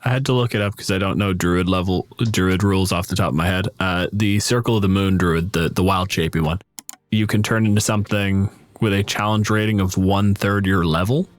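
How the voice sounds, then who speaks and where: A man's voice: low voice, fairly even intonation, a little fast. A man talking casually and emphatically about a tabletop game, opinionated and freely profane. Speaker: podcast host.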